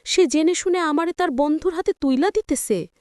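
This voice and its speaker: A betrayed young married woman in a dramatic audio story, acted out by a woman narrator. Her voice is high and wounded, pleading in a Bangladeshi Bengali accent. The recording is dry and close. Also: moderate pace, a little mellow, no room sound, lightly acted. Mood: anguished disbelief.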